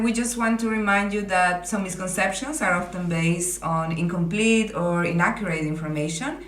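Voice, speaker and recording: A woman's voice: medium pitch, fairly bright tone. A young woman speaking as a podcast host in a conversational way. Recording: echoey room, music underneath.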